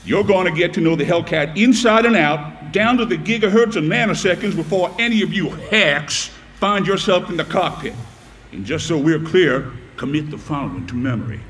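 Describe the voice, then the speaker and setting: An actor playing a brash, tough air force commander with a commanding, profane swagger in an audio drama. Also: male voice, high-pitched, fairly bright tone, a little hiss or hum. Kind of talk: audio drama military speech.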